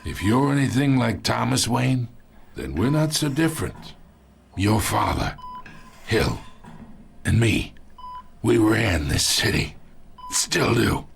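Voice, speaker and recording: An actor playing an ageing, wounded, sly mob boss in a video game, with a gravelly voice and a taunting, falsely chummy drawl. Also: male voice, fairly low pitch, very animated, slow pace, long pauses, heavily acted. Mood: boastful. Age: older. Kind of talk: dramatic video game acting.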